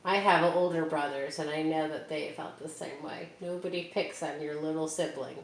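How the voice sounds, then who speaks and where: A woman's voice: sits low, normal speed. A woman reading a children's picture book aloud and chatting with young listeners between pages. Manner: animated, talks directly to young listeners. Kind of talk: read-aloud storytime with chatty asides to kids. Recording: roomy echo, faint ambient hiss.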